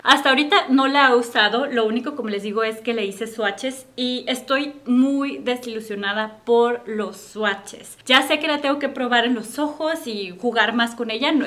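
A young woman with a Mexican accent, chatting casually to camera about makeup she bought, recorded at home in a bright room. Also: a little high. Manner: chatty and upbeat, friendly direct address. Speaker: beauty vlogger.

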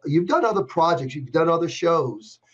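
An older man hosting a casual interview over a video call from a dark room, rambling with frequent restarts. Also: a little high, lively intonation, moderate pace, slightly rough. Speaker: podcast host. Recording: dull lo-fi video call audio.

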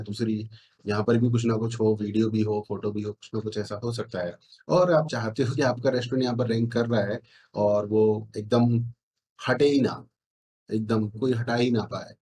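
A middle-aged man giving a self-assured screen-share sales pitch as a consultant, repeating phrases for emphasis. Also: darkish tone. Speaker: SEO consultant. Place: indoor room, screen recording with webcam.

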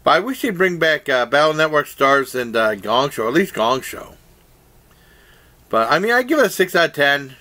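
A man's voice: fairly high pitch, sing-song, moderate pace, pushed and bright. An older man casually reviewing a game show to his webcam in a small room, rambling with many fillers and restarts. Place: small room indoors, plain wall. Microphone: webcam at desk.

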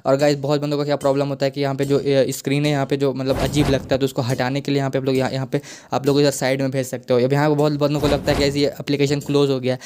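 Man, Indian accent, casual tutorial host, even monotone pitch, rapid, repetitive. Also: background noise. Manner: repetitive, addresses viewers as guys. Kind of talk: casual screen-recorded tutorial.

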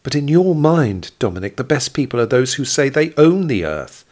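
Man voicing a bitter, defiant young woman, pitched up. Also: sing-song, normal speed, darkish tone, natural voice. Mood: angry, bitter. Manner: vehement, bitter. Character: defiant, distrustful poor young woman of a scorned sect.

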